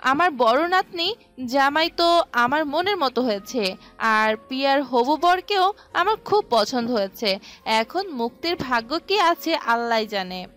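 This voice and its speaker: A woman's voice: high voice, animated, a little slow. A woman voicing an elderly grandmother who talks fondly of her granddaughters' husbands in an audio story. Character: doting elderly grandmother, matriarch of the family. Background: soft music.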